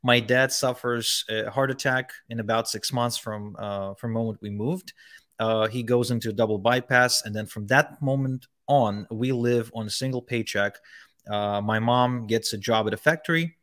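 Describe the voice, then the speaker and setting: A man's voice: medium pitch, fairly even intonation, normal speed, a bit bright. Man from Ukraine telling his story. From Ukraine, later Israel. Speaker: podcast guest, online marketing entrepreneur. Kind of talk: conversational personal storytelling.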